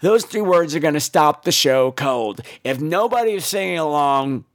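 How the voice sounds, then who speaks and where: Animated, high-pitched American man, theatrical storyteller. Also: normal speed. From United States. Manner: theatrical, campy, exaggerated delivery; profane, blunt. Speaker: podcast host. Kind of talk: comedic personal storytelling monologue.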